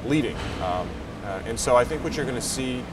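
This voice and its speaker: A man in his forties giving measured, halting interview answers as a trade association president. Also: mid-range voice, lively intonation. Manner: halting, measured, searching for words. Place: trade show booth, indoors. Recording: noisy trade show floor, slightly distant mic.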